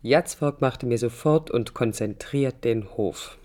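Introspective young woman architect, low-voiced. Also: slow, mellow. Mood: wistful, intent. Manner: literary, introspective delivery. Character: young woman architect, passionate, reflective, idealistic. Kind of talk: radio play inner monologue and dialogue. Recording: studio radio play with music.

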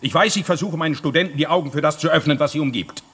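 An actor playing a cautious, God-fearing older professor in a radio drama, with a husky voice and a grave, admonishing manner. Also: male voice, fairly high pitch, very fast, a bit bright. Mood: grave warning. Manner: grave, admonishing, swelling and dropping. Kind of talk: German radio drama acting.

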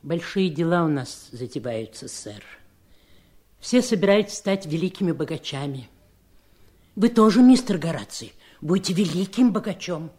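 A woman's voice: fairly low pitch, lively intonation, normal speed, warm tone, natural voice. A woman acting a devoted servant in a 1970s Soviet radio drama, deferential but bossy and fussing. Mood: troubled, confiding. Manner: deferential yet fussing and scolding.

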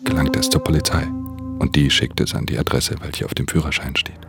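An actor playing a brooding, solitary pickpocket who narrates his crimes in a controlled, introspective, hushed way in a radio drama. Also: a bass voice, steady tone, fairly quick, creaky. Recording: studio radio drama with prominent music.

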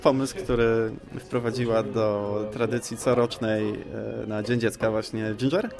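A man's voice: fairly low pitch, steady tone, a little slow. A man chatting casually as a podcast guest, with wry and somewhat hesitant answers. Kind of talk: casual chat. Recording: music behind the talk. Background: music.

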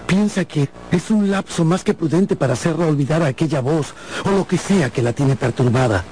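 A man's voice: on the high side, lively intonation, fairly quick. A man narrating a radio drama from a script in a theatrical storytelling manner. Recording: loud music behind the narration. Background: loud music.

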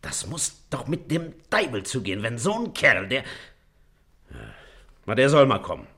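An actor playing an educated, good-natured but excitable gentleman doctor in a German radio play, talking conversationally and emphatically, quick to take offence. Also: medium pitch. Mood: offended. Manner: conversational, easily indignant, emphatic.

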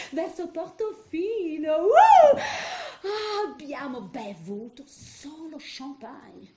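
A woman's voice: on the high side, darkish tone. A woman playing a vain, snobbish, flirtatious businesswoman in a comic stage monologue, theatrical and gushing, dropping in French exclamations. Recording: roomy, echoing sound.

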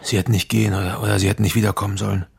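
An actor playing a seasoned, weary middle-aged politician under pressure in a radio crime drama, terse, guarded and sardonic. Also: male voice, on the low side, little pitch movement, very fast, gravelly, breathy edge, exaggerated voice.

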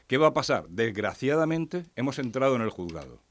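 A man's voice: mid-range voice, big pitch swings, fairly quick, dry recording. A man from Ciudad Real, a panelist in a radio debate, speaking in an emphatic, lamenting way. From Ciudad Real, Spain.

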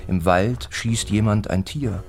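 A man narrating a literary radio play in a deliberate, rhythmic way, with repeated phrases, as a stern teller of a bleak village tale. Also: fairly low pitch, darkish tone. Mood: bleak, sober.

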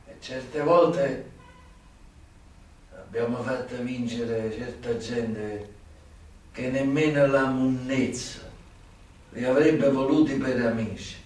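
An actor playing a worldly, sly old mafia turncoat in a radio drama, with a southern Italian accent and weighty short phrases between long pauses. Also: male voice, medium pitch, darkish tone, natural voice. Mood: knowing, grave. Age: older. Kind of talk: theatrical radio drama acting. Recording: very echoey stage, distant microphone.

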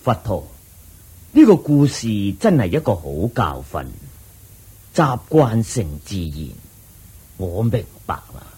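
A man's voice: wildly swooping pitch, darkish tone, exaggerated voice. A man playing an official who respectfully thanks the Buddha for his teaching, in a radio drama. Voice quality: husky, low. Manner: respectful, slow, agreeing. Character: humble male official learning a lesson.

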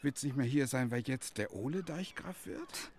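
An actor playing an ageing village schoolmaster, frail, wistful and resigned, speaking hesitantly and musingly in a German radio drama. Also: male voice, mid-range voice, animated, normal speed, darkish tone, slight background noise, lightly acted. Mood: wistful, probing. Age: older. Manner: hesitant, musing, breaking into recited verse.

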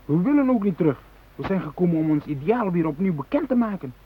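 An actor in a radio play playing a fanatical, impatient militant hostage-taker who speaks in an emphatic, agitated, declamatory way. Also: male voice, high-pitched, big pitch swings, fast talker, husky, narrow, tinny audio, exaggerated voice. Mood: fervent. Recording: thin, noisy old radio recording.

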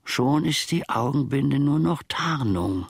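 An actor playing a grumbling, pedantic, world-weary manservant who philosophizes in a rambling, theatrical radio play monologue, with a gravelly, husky voice. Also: male voice, mid-range voice, lively intonation, no room sound, clean studio sound, full character voice. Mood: sly, musing. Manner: rambling, theatrical, pseudo-learned, halting.

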